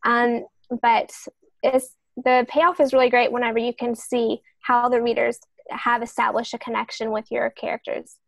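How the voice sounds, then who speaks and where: Higher-pitched woman explaining on a podcast. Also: little pitch movement, moderate pace, creaky, no room sound, rough recording. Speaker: fiction author and podcast panelist. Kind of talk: podcast discussion, explaining writing tips.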